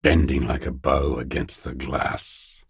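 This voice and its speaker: An actor playing a bitter, paranoid old communist deserter in a video game, with a weary, gravelly voice and a halting, brooding, contemptuous mutter. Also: male voice, very deep voice, little pitch movement, exaggerated voice. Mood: brooding, furtive. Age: older. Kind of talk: video game character voice acting.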